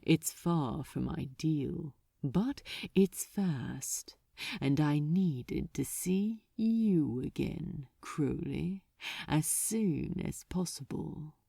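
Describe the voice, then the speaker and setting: Woman playing a suave, low, unhurried male archdemon. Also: lively intonation, vocal fry, dark tone, slightly rough, no room sound, clean studio sound, heavily acted. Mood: tender, sly. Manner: smug, elegant, unhurried. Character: suave, sly male archdemon, tender and menacing.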